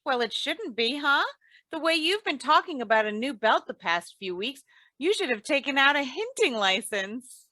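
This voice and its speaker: Actress as shrewd, affectionate sitcom-style radio wife; bright forceful tone, dry close sound, teasing comebacks. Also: female voice, sits high, very animated, moderate pace, no echo, heavily acted. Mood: indulgent, teasing. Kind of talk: 1940s radio comedy acting.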